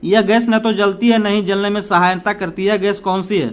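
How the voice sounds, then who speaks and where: High-pitched man teaching exam questions. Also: level tone, normal speed, clean tone. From India. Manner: conversational, asks and answers own questions, switches between Hindi and English. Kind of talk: informal lesson working through practice questions.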